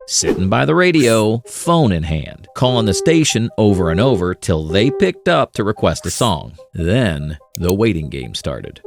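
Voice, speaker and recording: A man narrating a scripted, nostalgic video essay in a playful, dramatic way with short punchy phrases. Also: on the low side. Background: music under the voice.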